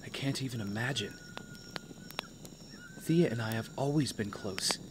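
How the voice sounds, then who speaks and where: Actor as young male trainee, mid-pitched, earnest, curious, conversational audio drama delivery, clear outdoor insect noise. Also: a little slow, lightly acted. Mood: reflective. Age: young adult. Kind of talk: naturalistic audio drama acting.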